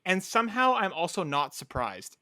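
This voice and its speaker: Male co-host, bright forward voice, fairly high, emphatic, conversational, dry close sound. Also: very animated, normal speed, no echo. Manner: emphatic, acts out imagined quotes. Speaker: podcast co-host. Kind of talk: casual animated chat.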